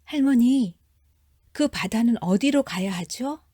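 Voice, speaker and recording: A woman voicing a gentle, earnest fifteen-year-old storybook princess with a polite, plaintive manner. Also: a little high, animated, measured pace, dry recording, clean studio sound, pitched above the performer's own voice. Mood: polite, eager. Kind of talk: storybook character voice.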